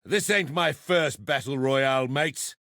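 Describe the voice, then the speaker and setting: An actor playing a gruff, sardonic fighter in a video game, speaking in blunt, colloquial barks. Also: male voice, medium pitch, unhurried, sharp tone, no echo, clean studio sound. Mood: combative.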